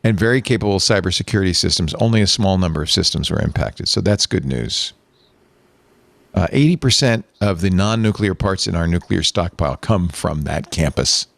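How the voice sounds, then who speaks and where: Gravelly man hosting a tech show. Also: deep voice, expressive, fairly quick, fairly bright tone, dry recording. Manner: wry, reads out articles with asides. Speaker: podcast host. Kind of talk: casual chat.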